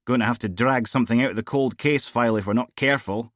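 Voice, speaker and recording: A man voicing a brash, crude middle-aged woman who runs a police team, loud and mocking. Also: medium pitch, quick pace, dry recording, clean studio sound, voice pushed up, lightly acted. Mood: scornful.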